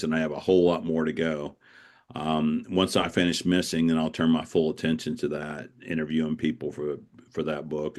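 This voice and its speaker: A middle-aged or older man talking casually as an author being interviewed, in a reflective, halting, rambling way. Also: sits low. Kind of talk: casual conversational interview, storytelling.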